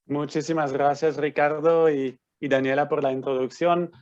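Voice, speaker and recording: A man's voice: fairly high pitch, level tone, moderate pace, darkish tone. A Swiss man lecturing online as an invited lawyer, speaking a Spanish he learned in Argentina, hesitant with many restarts and fillers. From Switzerland. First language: not Spanish. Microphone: computer mic on video call. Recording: slightly dull lo-fi sound.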